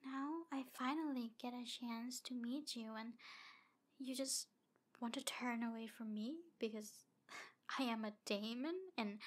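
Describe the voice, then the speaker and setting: A woman voicing a jealous, lovesick female demon in an ASMR roleplay, halting and breathy, often slipping toward a whisper. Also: a little high. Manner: breathy, halting delivery with whispered phrases and giggles. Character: jealous, obsessive female demon in love, taunting and wounded. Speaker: audio roleplay performer.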